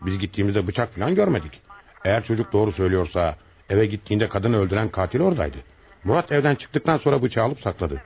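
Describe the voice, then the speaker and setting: An actor playing a stern, commanding police commissioner in a radio play, questioning suspects brusquely and with authority. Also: male voice, fairly low pitch, expressive, fast, creaky, darkish tone. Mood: commanding, brisk. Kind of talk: radio theatre acting.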